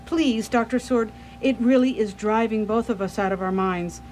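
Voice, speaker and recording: A woman's voice: fairly high pitch, moderate pace, darkish tone, a little hiss or hum, natural voice. A woman playing an anxious, devoted young wife in a radio play, genteel and pleading. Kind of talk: radio theatre acting.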